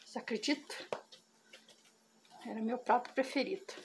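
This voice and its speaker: A woman's voice: on the high side, moderate pace, a little hiss or hum. A middle-aged woman, chatting to her viewers as she shows a cooking recipe in her farm kitchen and keeps calling them "gente". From Brazil. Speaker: cooking vlogger. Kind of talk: casual cooking demonstration.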